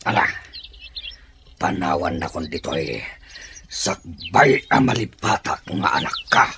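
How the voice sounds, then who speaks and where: Man acting a domineering father, swinging in pitch. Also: animated, measured pace, gravelly, heavily acted. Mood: angry, commanding. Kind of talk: amateur drama dialogue. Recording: noisy outdoor recording with birdsong.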